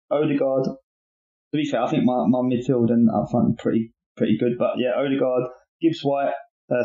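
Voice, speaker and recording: A man's voice: mid-range voice, vocal fry, mellow. A man co-hosting a podcast, talking hesitantly with many fillers. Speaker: podcast co-host. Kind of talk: casual chat. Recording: lo-fi echoey room.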